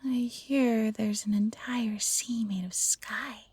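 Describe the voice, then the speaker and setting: A woman voicing a timid but loyal fox hybrid in an audio roleplay, earnest and expressive. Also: a little high, slow pace, breathy edge, no echo, exaggerated voice. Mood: uneasy wonder. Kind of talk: scripted audio roleplay acting.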